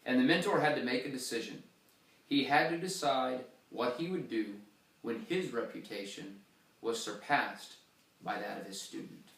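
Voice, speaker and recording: A man's voice: medium pitch, gravelly, far from the mic. Man reading a story in an echoey church. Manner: expressive, dramatic pauses and shifts in loudness. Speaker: reader.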